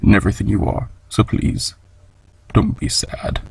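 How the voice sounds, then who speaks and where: A man playing a gentle, solemn monster scientist and father in a fan comic dub, speaking formally and with measured care in a gravelly voice. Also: wildly swooping pitch, no echo, full character voice. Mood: tender, grave. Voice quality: low, gravelly, husky.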